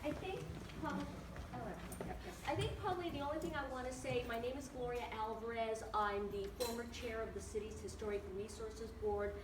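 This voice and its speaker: A woman's voice: on the high side, fairly quick. A woman, a local preservation advocate, giving a firm, principled public comment at a meeting. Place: community meeting room. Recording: echoey hall, distant, noisy.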